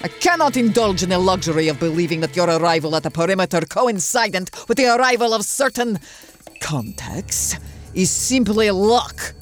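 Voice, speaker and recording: A woman's voice: mid-range voice, lively intonation, normal speed, soft background music, close to an everyday voice. A woman playing a stern, haughty female Air Force colonel in a comedic audio drama, speaking in formal commands. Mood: stern, imperious.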